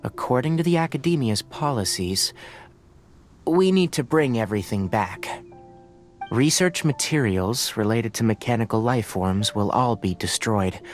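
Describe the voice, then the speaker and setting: A man playing a calm, pragmatic young forest ranger and scholar in a video game, speaking in a measured, matter-of-fact, gently firm way. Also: fairly low pitch. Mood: grave, explaining. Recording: music behind the voice.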